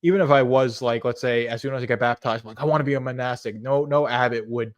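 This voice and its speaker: A man, hosting a live stream in casual chat, rambling and hesitant with many fillers and restarts, earnest and softly amused as he answers chat. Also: mid-range voice, steady tone, quick pace, muffled recording. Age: 20s. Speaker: livestream host.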